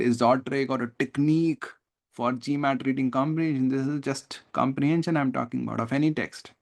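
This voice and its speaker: Indian male test-prep teacher, mid-pitched with gravelly fry, emphatic and deliberate, explaining live to students. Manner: deliberate, emphatic word-by-word stress, rhetorical questions. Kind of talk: live online lesson, explaining reading comprehension.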